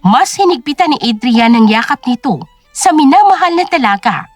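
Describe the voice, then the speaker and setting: A woman's voice: high-pitched, big pitch swings, normal speed, dry recording, faint music behind. A woman narrating a scripted drama story in a dramatic storytelling style.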